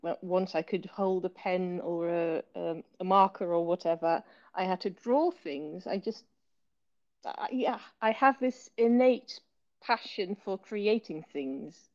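A Dutch woman in her thirties with a learned British accent, a low-energy author speaking hesitantly with many fillers from a hospital bed. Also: a little slow, muffled recording. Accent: Dutch speaker with learned British English accent. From Netherlands. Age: 30s. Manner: soft-spoken, hesitant with many fillers, occasional laughs. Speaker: author and illustrator, guest. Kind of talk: casual remote interview.

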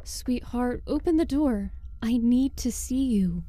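Woman acting a slow, high-voiced undead mother, sweetly pleading. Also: lively intonation, warm tone, a little hiss or hum. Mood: eerily tender. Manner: slow, coaxing endearments. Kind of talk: horror audio story acting.

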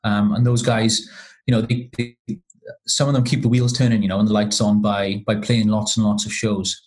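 Hesitant man, low voice. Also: flat delivery, normal speed, darkish tone, low-quality recording. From Wales. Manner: hesitant, many ums and restarts. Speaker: podcast guest, marketing consultant. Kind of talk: casual podcast conversation.

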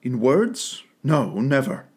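A man voicing a gentle, sorrowful young woman who speaks gravely and steadily in a soft, pleading tone. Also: medium pitch, big pitch swings, slow pace, heavily acted. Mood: earnest.

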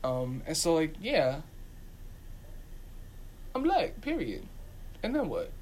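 A man's voice: a little high, huge pitch swings, takes it slowly, a little mellow, poor audio. A man talking casually and with opinion, speaking emphatically and repeating his points for stress. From Dominican community.

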